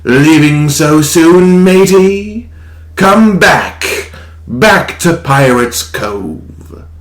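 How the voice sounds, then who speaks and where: Playful but menacing robot pirate fox, super slow drawl, bit high for a guy, audio drama. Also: expressive. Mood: taunting. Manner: slow, drawn-out pirate talk. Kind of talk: fan audio drama acting.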